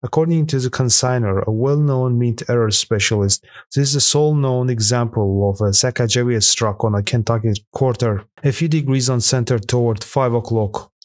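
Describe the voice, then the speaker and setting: A man reading a scripted voice-over that counts down rare coins sold at auction, in a steady, even manner with an occasional slip. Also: medium pitch, normal speed, few pauses, no echo, clean studio sound. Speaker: narrator of a coin auction countdown. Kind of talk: scripted voice-over narration read aloud.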